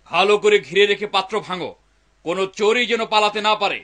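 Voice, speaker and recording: Forceful cartoon police officer. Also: male voice, sits high, brisk. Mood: commanding. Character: commanding, confident police officer hunting thieves. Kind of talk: dubbed cartoon acting.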